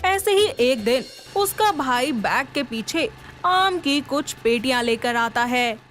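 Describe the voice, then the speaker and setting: A woman reads scripted story narration. Her voice is high and she goes slowly, with light music behind her. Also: lively intonation, fairly bright tone.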